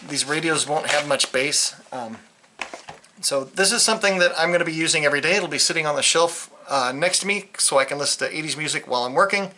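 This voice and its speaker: A man's voice: on the high side, quick pace, sharp tone. A man giving a casual, unscripted product review, rambling and hesitant, often trailing off mid-thought. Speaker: hobbyist video reviewer.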